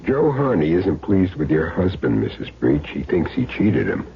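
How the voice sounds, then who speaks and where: An American actor playing a cool, menacing hired hitman in a 1970s radio drama, with clipped, sardonic, deliberate delivery. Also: rumbling low, huge pitch swings, exaggerated voice. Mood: sardonic threat. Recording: muffled, hissy 1970s radio broadcast recording.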